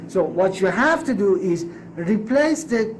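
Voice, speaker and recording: Emphatic man pitching. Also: lively intonation, measured pace, a little hiss or hum, low-quality recording. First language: Persian. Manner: emphatic and persuasive, self-correcting restarts. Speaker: course creator pitching a proposal. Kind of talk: solo spoken pitch to camera.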